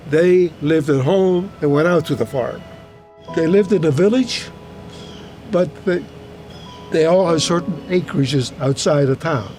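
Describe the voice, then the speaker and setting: A man in his eighties from Hungary, a native German speaker, recalls his life in a halting, repetitive, plain-spoken way, as in an oral history interview. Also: fairly high pitch, mellow. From Hungary, German-speaking household. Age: 80s. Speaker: retired teacher recounting his life. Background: music under the voice.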